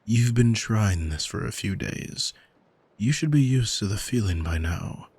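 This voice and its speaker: Man playing a languid, husky, arrogant male demon. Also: lowish voice, expressive, measured pace, darkish tone, no echo, exaggerated voice. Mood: teasing, stern. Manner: languid, teasing, commanding, condescending. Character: arrogant male demon, seductive, condescending, coolly manipulative captor. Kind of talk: ASMR roleplay audio drama, intimate address to listener. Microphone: close microphone, dry.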